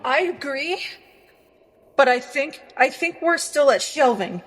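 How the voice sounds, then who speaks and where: A direct, urgent adventurer played by a woman in a tabletop fantasy game. Her voice sits a little high and her delivery is blunt and questioning. Soft music runs behind it. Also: animated, slow, exaggerated voice. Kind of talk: tabletop roleplay, casual in-character talk.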